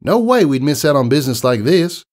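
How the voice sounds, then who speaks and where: Man putting on a hearty high-voiced country mare. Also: very animated, brisk. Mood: proud. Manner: folksy rural slang, hearty and plainspoken. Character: friendly hardworking country mare, farm apple seller.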